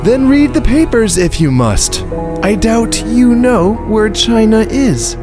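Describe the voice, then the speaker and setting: A weary, irritable gentleman drawls his dismissals in a very high, theatrical voice. Loud music plays behind this radio drama scene. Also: animated, slow. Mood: exasperated. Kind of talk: stage acting in a radio drama.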